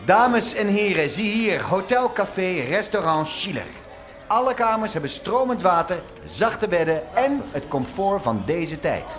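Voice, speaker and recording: A woman playing a lively, welcoming hotel host in a radio drama, proclaiming to guests in a showy way. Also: fairly low pitch, a little slow, faint music behind. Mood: grand, welcoming.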